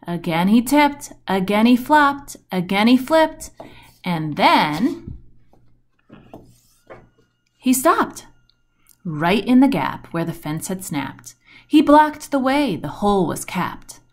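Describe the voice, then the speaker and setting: Female storybook reader, unhurried pace, lively swooping intonation, dramatic emphasis, children's rhyming read-aloud. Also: medium pitch. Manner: deliberate, dramatic storytelling delivery with emphasis on rhymes and action words.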